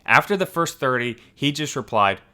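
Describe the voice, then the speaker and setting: A man hosting a story channel, reading forum posts aloud in a steady, even storytelling manner. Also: medium pitch, normal speed, smooth.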